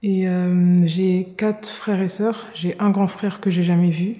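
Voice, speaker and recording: A woman in her twenties from Paris speaks hesitantly in a documentary interview. Her voice is very dark and flat. The recording sounds dull and muffled. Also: lowish voice, measured pace. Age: 20s. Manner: hesitant, many fillers. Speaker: trainee interviewee.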